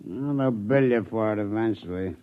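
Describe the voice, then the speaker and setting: A man's voice: lowish voice, normal speed, natural voice. A man playing a jaded, lazy, hard-drinking sportsman, droll and world-weary, in a 1950s radio comedy. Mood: bored, dismissive. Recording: dull vintage radio recording.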